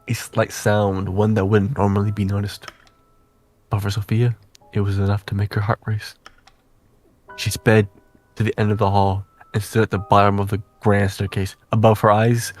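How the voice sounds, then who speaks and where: A man reading a visual novel aloud as dramatic narration, with deliberate, suspenseful phrasing. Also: fairly low pitch, a little mellow.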